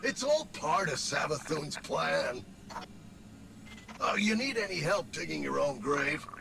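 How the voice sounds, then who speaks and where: A man's voice: a little high, animated, measured pace, gravelly, background noise. A man voicing a snide, resentful little robot companion to villains in a video game, sarcastic, brash and streetwise. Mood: mocking. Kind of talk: video game character acting.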